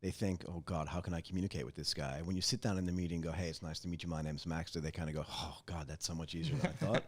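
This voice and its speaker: Middle-aged podcast host; deep, quick, animated and chatty. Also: male voice, breathy edge. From Boston, USA. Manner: animated, chatty, laughs along. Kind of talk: conversational interview.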